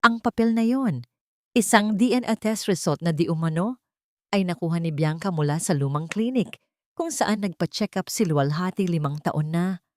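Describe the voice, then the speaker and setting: Woman storyteller, deep register, solemn, suspenseful scripted narration, dry close recording. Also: moderate pace, mellow, dry recording.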